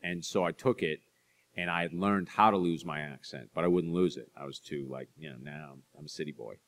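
A man's voice: a bass voice, a little fast. Man telling stories at a library event. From Boston area. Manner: animated storyteller, acts out voices in anecdotes, self-deprecating. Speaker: author speaking to an audience. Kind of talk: storytelling talk and audience Q&A. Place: library stage in front of a curtain.

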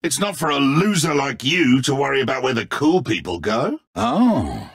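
An actor playing a petty, egotistical, godlike villain in a video game, smooth and theatrical, with condescending mock friendliness. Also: male voice, mid-range voice, sing-song, moderate pace, full character voice. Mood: petulant, condescending.

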